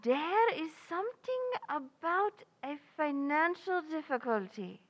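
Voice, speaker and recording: A high, swooping voice for a lady music teacher in a radio comedy. She is polite and crafty, mangles her grammar, and takes her time. The sound is slightly dull. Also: very animated, a bit bright, exaggerated voice. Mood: mysterious, coy. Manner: mangled grammar, progressive verbs, deliberate and polite. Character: foreign woman piano teacher and fortune teller, polite, crafty.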